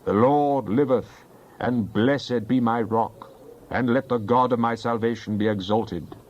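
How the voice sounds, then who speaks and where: Male scripture reader, mid-pitched, solemn and declamatory, on slightly muffled audio. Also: unhurried, darkish tone. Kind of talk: dramatized Bible reading.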